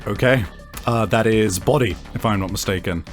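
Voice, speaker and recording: A man improvising narration as game master of a tabletop game, thinking aloud haltingly as he describes the action and the rules. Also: fairly low pitch, soft background music.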